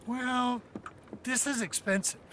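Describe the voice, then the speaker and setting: An actor playing a doubting, wealthy gentleman in a game cutscene, speaking in a formal, condescending way. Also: extremely high pitch, fairly even intonation, slow. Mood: impressed, hesitant. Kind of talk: video game cutscene acting. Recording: clear background noise.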